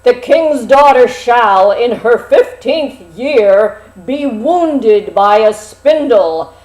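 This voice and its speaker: A woman voicing an angry, vengeful storybook fairy who declaims a curse slowly and menacingly, at high volume. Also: animated. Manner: loud, slow, menacing declaiming. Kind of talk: storybook character voice. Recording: roomy, echoing sound.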